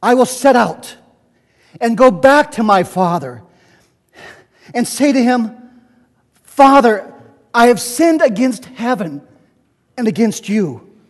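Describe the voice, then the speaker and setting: A man's voice: extremely high pitch, lively intonation, slow, pauses often, pitched above the performer's own voice, heavily acted. A man playing a penitent, desperate young prodigal son in a dramatic Bible reading, pleading. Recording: echoey church hall, long reverb.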